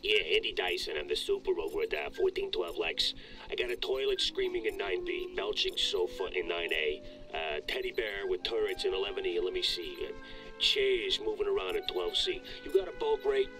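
An actor playing a blunt, deadpan male building superintendent reeling off a list on a phone in a video game. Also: low voice, fairly quick, over music, lightly acted. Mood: deadpan, businesslike. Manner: clipped, deadpan listing. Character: blunt, matter-of-fact male New York building superintendent. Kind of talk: scripted game phone message.

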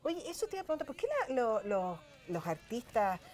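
A woman's voice: fairly high pitch, sing-song, fairly quick, a little mellow. A woman with a Chilean accent hosting a chatty television interview in a studio, speaking in an effusive, exclaiming way. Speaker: television host. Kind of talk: chatty talk show interviewing.

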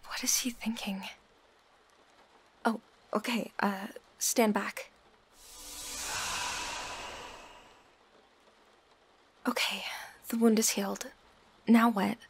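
A woman playing an earnest, concerned young healer in an audio roleplay, speaking emphatically with a breathy voice. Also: medium pitch, flat delivery, slow, pauses often, slight background noise. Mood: worried. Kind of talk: ASMR audio roleplay acting.

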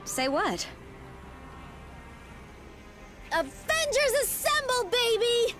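A woman voicing a perky, eager, geeky teenage superhero girl in a video game, bubbly and excitable. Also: very high-pitched, unhurried, over music, heavily acted. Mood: excited. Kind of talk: video game cutscene acting.

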